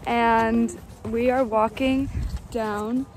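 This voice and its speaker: A woman's voice: on the high side, steady tone, very slow, a little mellow. A young woman chatting upbeat to her phone camera as a vlogger, outdoors and in a ski lodge. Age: young adult. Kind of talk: casual vlog narration. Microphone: handheld phone camera.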